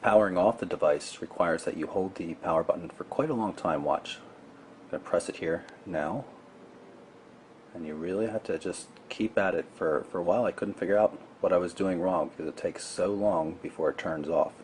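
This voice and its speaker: A man's voice: low voice, moderate pace, darkish tone. A man giving a casual, matter-of-fact product demonstration, explaining things as a tech reviewer. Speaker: tech reviewer presenting a gadget unboxing. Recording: clear background noise.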